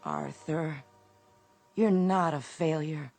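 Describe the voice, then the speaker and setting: A woman's voice: deep voice, steady tone, takes it slowly, vocal fry, darkish tone, soft background music, full character voice. A woman acting in a radio drama as a shopkeeper's worried, exasperated but loyal wife. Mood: pleading, tender.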